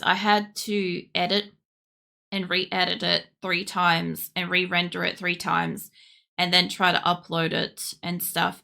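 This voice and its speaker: A tired young woman streamer chatting casually while she draws, with a croaky voice and a rambling way of talking full of fillers. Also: on the low side, bright, forceful tone. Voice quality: croaky, gravelly. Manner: rambling, with many fillers and restarts. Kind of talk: casual chat during a drawing stream.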